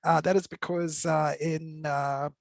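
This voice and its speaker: Higher-pitched man, gravelly, even intonation. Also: unhurried, no echo, studio quality. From Zimbabwe and South Africa. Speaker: panelist. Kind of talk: personal introduction in a panel discussion.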